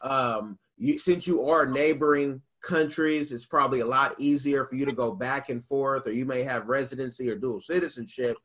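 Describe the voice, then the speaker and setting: A man's voice: mid-range voice, normal speed, hardly any pauses, a bit bright. A man with an American accent hosting a casual interview, asking long, rambling questions in a conversational way. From United States. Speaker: interview host.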